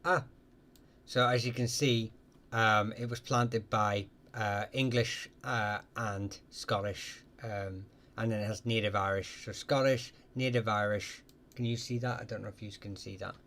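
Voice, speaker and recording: A man hosting a live question-and-answer stream, talking casually and haltingly with many ums and restarts as he answers viewers. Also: on the low side, fairly even intonation, fairly bright tone.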